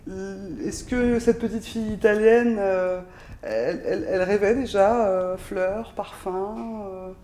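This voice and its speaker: A woman's voice: medium pitch, dark tone. A woman hosting an interview, asking long questions broken up by fillers and restarts. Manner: halting questions with many fillers. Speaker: interview host.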